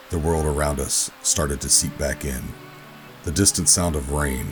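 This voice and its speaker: A man playing a weary rogue operative, gruff but tender, narrating a sci-fi audio drama in a brooding, deliberate way. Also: very deep voice. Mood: soft, intimate. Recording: music bed under the voice. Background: dramatic music.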